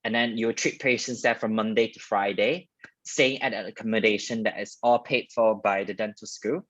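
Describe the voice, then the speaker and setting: Husky young Malaysian man. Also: lowish voice, fairly even intonation, normal speed, a bit bright, dry recording, highs cut off. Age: young adult. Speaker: guest speaker, dentist. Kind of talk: casual personal storytelling in a career talk.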